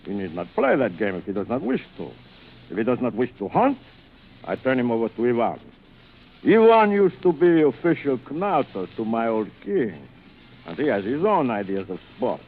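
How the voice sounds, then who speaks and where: An actor playing a suave, cruel aristocratic older general who hunts men, in urbane, theatrical 1940s radio thriller style with savored pauses. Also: male voice, mid-range voice, very animated. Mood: coolly menacing. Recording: muffled, noisy vintage radio recording.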